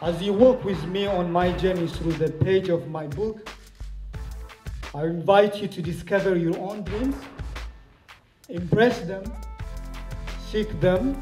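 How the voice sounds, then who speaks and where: High-voiced man reading dramatic memoir lines. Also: dark tone, some chatter behind. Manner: measured, declamatory. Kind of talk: scripted dramatic narration. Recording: roomy voice-over with music behind.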